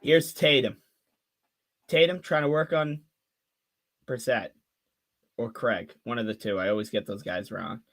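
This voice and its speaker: A man of twenty giving fan play-by-play on a live stream, calling the action quickly and chatting with viewers between plays. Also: medium pitch. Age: 20s. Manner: rapid call of the action mixed with chatty asides to viewers.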